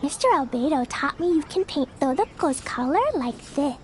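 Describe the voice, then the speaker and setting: A woman voicing a cheerful, innocent little girl in an animated video game, talking with childlike enthusiasm. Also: sits high, moderate pace, noisy background. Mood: eager, cheerful. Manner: childlike, enthusiastic, simple wording.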